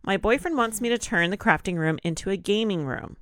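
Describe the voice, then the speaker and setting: A woman co-hosting a podcast, reading a post aloud in a relaxed, chatty way, a little nasal and congested. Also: medium pitch, a little fast, dry recording, clean studio sound. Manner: relaxed, laughs often. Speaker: podcast co-host.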